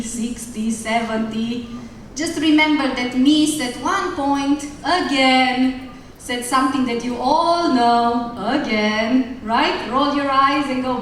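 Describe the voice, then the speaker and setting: A woman with a Romanian accent speaks a little high, addressing graduating students with jokes and a direct tone. She sounds far from the mic, and her voice rings through a large, echoey hall. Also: slight background noise. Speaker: teacher. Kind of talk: graduation speech.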